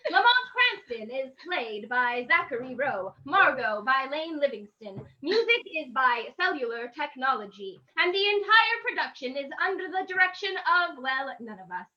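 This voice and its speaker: A woman announces in old-time radio style. Her voice is high, bright and animated, with a slightly dull, lo-fi sound. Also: moderate pace, few pauses.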